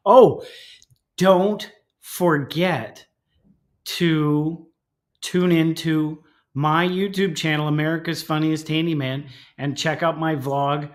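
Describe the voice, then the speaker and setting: A man's voice: a little high, fairly even intonation, slow. A middle-aged man in casual comic banter as a show co-host, with a joking, self-deprecating manner.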